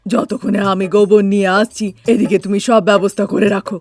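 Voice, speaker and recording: A woman's voice: mid-range voice, little pitch movement, a little fast, a little mellow, no room sound, lightly acted. A woman playing a bossy, nagging elderly mother-in-law and grandmother in a cartoon, with a scolding, insistent manner. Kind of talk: Bengali cartoon dialogue.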